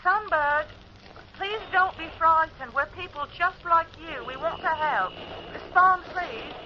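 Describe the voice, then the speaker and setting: An actress playing a gentle, curious spaceship radio operator in a radio drama, with a radio filter on the voice. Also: female voice, extremely high pitch, a little slow. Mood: pleading. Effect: radio transmission filter. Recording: muffled, noisy radio transmission.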